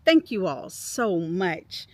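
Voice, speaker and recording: A woman's voice: lowish voice, sing-song, takes it slowly, a little mellow, no room sound, heavily acted. A woman voicing a cheerful, curious little female ladybug in a storybook, with a wondering, exclamatory manner. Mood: happy, grateful.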